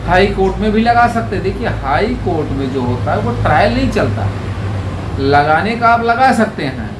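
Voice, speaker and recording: Male legal explainer, high voice, conversational and direct, roomy sound with noticeable noise. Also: sing-song, normal speed. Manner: conversational, rhetorical questions, addresses viewers directly. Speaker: legal advisor presenting a video. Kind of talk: informal explainer talk to viewers. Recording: echoey room with clear background noise.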